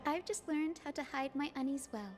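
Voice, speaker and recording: Game casting: gentle young female healer played by a woman, high voice, polite and earnest, faint music behind. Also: moderate pace, close to an everyday voice. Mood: candid, wistful. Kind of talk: scripted game voice acting. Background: background music.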